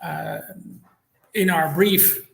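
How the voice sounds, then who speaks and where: A middle-aged man from the Netherlands with a Dutch accent, a native Dutch speaker, explaining things in a measured, structured way as an interview guest, recorded in an echoey room. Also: fairly high pitch, fairly even intonation, gravelly. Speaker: interview guest, marketing executive.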